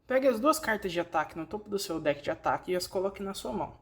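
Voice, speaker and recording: Female tutorial narrator, Brazilian Portuguese accent, mid-range pitch. Scripted, explanatory read addressed straight to the learner. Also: expressive, fast. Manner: explanatory, addresses the listener directly. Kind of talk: scripted instructional narration, fan dub.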